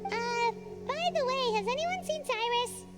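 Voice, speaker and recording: A woman voicing a small, childlike, chirpy fairy companion in a video game, with a squeaky voice and music behind. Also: expressive, a little slow. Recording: loud music behind the dialogue.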